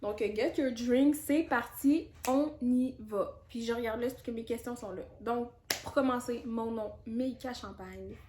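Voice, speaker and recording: A young Quebec woman chats through a casual Q&A vlog in a slightly high voice, candid and loose, often pausing on fillers. Her voice rings in an echoey room with a faint hiss behind it. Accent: Quebec French. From Canada. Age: 20s. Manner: chatty and candid, with frequent fillers and asides. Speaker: YouTuber.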